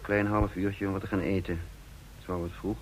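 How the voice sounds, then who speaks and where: An actor playing a calculating double agent who poses as a counterintelligence chief, measured and probing in a radio play. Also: male voice, sits low, flat delivery, natural voice. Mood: reflective, guarded. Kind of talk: Dutch radio play acting and first-person narration. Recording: noisy old radio play recording.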